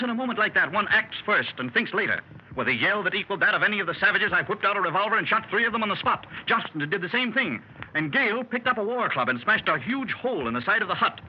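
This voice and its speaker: Here is a dramatic male explorer from a 1930s radio adventure. His voice is high and swings widely as he tells the tale, and it turns to urgent shouted orders when the chase begins. The sound is a muffled old radio recording with faint hiss. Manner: dramatic storytelling, shouting orders in the action scene. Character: daring male explorer recounting a jungle escape. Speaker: club member telling his adventure.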